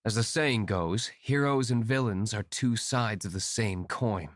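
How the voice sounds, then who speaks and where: Reserved young male vigilante played by a man, measured delivery, cool, calm, game dialogue. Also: on the low side, close to an everyday voice. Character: calm, aloof young male winery owner and secret vigilante. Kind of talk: game voice acting.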